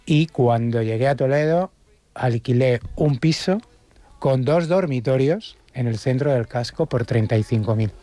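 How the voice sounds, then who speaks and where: A middle-aged man from Madrid with a warm, mellow voice, speaking as a guest. He talks in a thoughtful, halting way, full of fillers. His voice sounds close and dry. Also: mid-range voice, no echo. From Madrid, Spain. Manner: reflective, hesitant, with many fillers. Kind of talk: casual radio chat.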